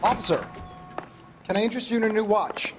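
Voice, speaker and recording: A man acts out a courteous, slightly uneasy shop clerk in a crime game. His voice is on the high side and deferential, like a salesman's, with faint noise behind it. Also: quick pace, natural voice. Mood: polite, uneasy. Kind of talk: dramatic video game acting.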